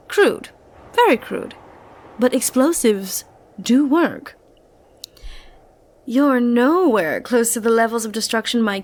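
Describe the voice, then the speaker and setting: A woman playing an ancient, immortal giant being in an ASMR roleplay, flirtatious, condescending and dangerous, teasing with breathy whispers. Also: a little high, full character voice.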